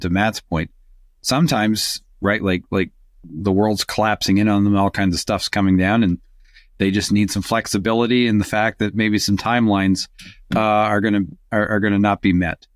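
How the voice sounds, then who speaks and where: A man's voice: lowish voice, normal speed, no room sound, clean studio sound. A man giving reflective, conversational advice on a podcast, often repeating words as he builds a point. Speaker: podcast panelist, church leadership coach.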